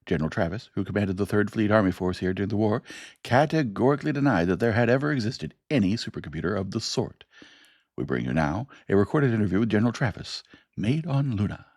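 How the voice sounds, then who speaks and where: A man voicing a news commentator reading a bulletin in a brisk announcer manner. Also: fairly low pitch, lively intonation, darkish tone, no room sound, studio quality, pitched above the performer's own voice. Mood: announcing. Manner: announcer delivery, quick.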